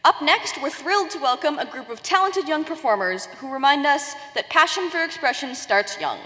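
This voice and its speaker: A woman emcee reads a polished, enthusiastic introduction at a ceremony. Her voice is high, bright and very lively in pitch. It rings with a long hall reverb. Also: normal speed. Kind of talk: scripted event announcement.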